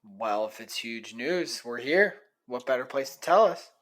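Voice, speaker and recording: A man's voice: medium pitch, animated, unhurried, fairly bright tone. A man playing a skeptical, teasing young college radio co-host in casual audio drama banter. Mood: curious. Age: young adult.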